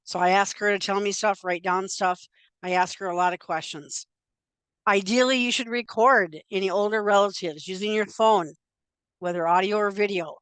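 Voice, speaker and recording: An older woman leads a relaxed genealogy talk, chatting along with plenty of little fillers. Her voice is smooth and clear. The sound is dry and close, with no room around it. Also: medium pitch, moderate pace, no echo. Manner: conversational and chatty, with frequent fillers. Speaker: genealogy presenter. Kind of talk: informal slide presentation.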